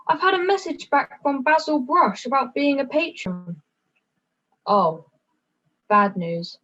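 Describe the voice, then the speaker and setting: Woman as high, eager, scatty puppet. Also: expressive, slow pace, fairly bright tone, heavily acted. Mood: disappointed. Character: eager, scatty puppet show member interrupting. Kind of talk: scripted puppet comedy dialogue. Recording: slightly dull online-call sound.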